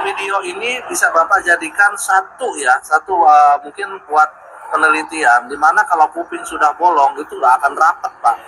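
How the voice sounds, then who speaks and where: A man's voice: on the high side, noisy background. A man in his forties, earnestly demonstrating and explaining to the camera. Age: 40s.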